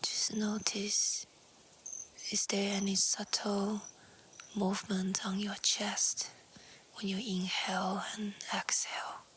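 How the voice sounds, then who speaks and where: A woman with a soft, breathy, whispery voice calmly guiding a relaxation meditation in short, gentle phrases. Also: mid-range voice, a little hiss or hum, low-quality recording. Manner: calm, hushed, gentle, with short pausing phrases. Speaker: yoga nidra meditation guide. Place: outdoors in nature. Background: faint natural ambience.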